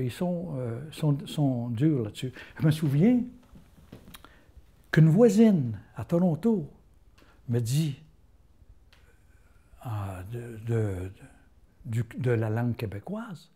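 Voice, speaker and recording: An older man with a rural Quebec French accent, telling stories in a casual interview, folksy and halting, full of fillers and restarts. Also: mid-range voice, sing-song, warm tone, slightly rough. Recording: interview filmed on camera.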